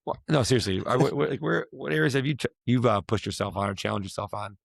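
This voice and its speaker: A man hosting a casual talk, his voice hoarse and raspy from a week of yelling, rambling and restarting as he asks questions. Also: medium pitch, fast, no room sound. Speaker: podcast host, fitness coach. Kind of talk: casual chat.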